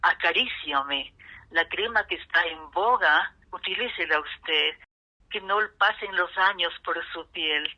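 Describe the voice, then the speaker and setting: A woman voicing a sensual, romantic beauty commercial announcer in a breathy, caressing manner. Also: lowish voice, a little slow, sharp tone, dull audio, exaggerated voice. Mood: seductive.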